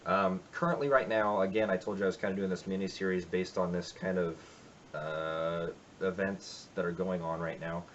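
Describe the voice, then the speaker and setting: Low-voiced man rambling about a song. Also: steady tone, normal speed, fairly bright tone, lo-fi sound, slight background noise. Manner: rambling, reflective, many fillers. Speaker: musician covering a song. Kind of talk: casual spoken intro to a cover. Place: home music room.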